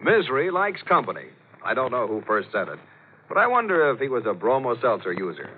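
A man reading a scripted commercial as a 1940s radio announcer, smooth and persuasive, on a tinny old recording. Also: mid-range voice, huge pitch swings, fairly quick, very noisy.

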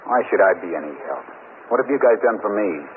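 An actor playing a sullen, defiant out-of-work robbery suspect, surly and defensive, in a 1950s radio drama. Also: male voice, lowish voice, animated, fairly quick, lightly acted. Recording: scratchy, narrow-band 1950s radio recording.

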